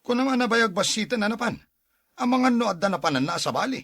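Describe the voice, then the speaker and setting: A man playing a suspicious, jealous husband and stern father in a radio drama, with a gruff, accusing manner. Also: high voice, lively intonation, quick pace, fairly bright tone, no room sound, full character voice. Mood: suspicious, probing.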